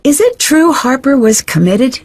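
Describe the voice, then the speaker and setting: A woman's voice: sits high, big pitch swings, moderate pace, a little mellow, no echo, soft background music, full character voice. An actress voicing an inquisitive, composed young female detective in a video game, asking direct, probing questions. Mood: curious.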